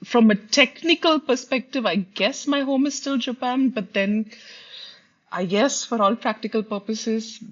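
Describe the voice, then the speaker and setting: A woman's voice: on the high side, a little slow. A woman from India, co-hosting a podcast in a musing, casual chat and laughing often. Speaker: podcast co-host. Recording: slightly dull audio.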